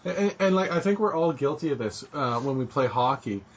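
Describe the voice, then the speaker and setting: A Canadian man co-hosting a podcast, telling animated stories and teasing his co-hosts. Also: a little high, fairly quick, husky. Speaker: podcast co-host. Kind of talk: casual gaming chat. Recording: dull lo-fi podcast audio.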